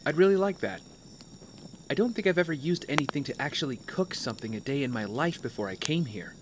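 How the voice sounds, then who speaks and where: A man's voice: on the high side, expressive, a little fast, close to an everyday voice. An actor playing an earnest, self-doubting young man in training, conversational and a little hesitant, in a naturalistic audio drama. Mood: pleased. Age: young adult. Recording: clear cricket and outdoor ambience noise.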